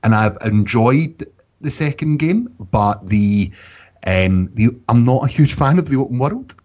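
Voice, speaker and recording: A man's voice: mid-range voice, lively intonation, unhurried, darkish tone, muffled recording. A man hosting a podcast in casual conversation. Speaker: podcast host.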